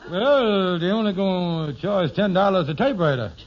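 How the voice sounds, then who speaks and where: Pompous high-voiced radio sitcom schemer. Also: male voice, lively intonation, normal speed. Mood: businesslike. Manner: pompous malapropisms, folksy ungrammatical speech. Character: scheming, lazy, self-important husband, a wisecracking schemer. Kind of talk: old-time radio sitcom acting. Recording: dull, noisy vintage radio recording.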